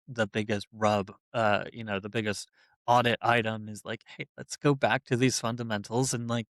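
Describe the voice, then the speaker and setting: Relaxed chatty man, dry sound. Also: fairly low pitch, normal speed, dry recording. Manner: relaxed, conversational, laughs easily, many fillers like "you know". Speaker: podcast host, website builder. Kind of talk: casual podcast interview.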